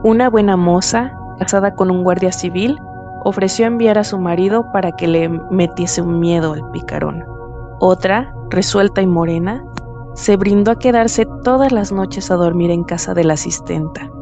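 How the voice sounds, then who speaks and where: A woman's voice: lowish voice, moderate pace. A woman narrating a literary story in a dramatized radio reading. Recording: music underneath.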